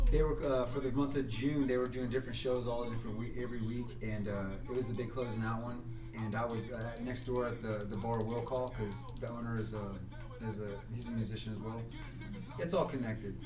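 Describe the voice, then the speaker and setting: A man's voice: medium pitch, fairly even intonation, brisk, far from the mic. A man on a podcast spins a long, meandering story and drops curse words as he goes. His voice comes from a step away from the mic in an echoey room. Music plays behind him. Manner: rambling storytelling with frequent profanity. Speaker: podcast guest. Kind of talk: casual chat.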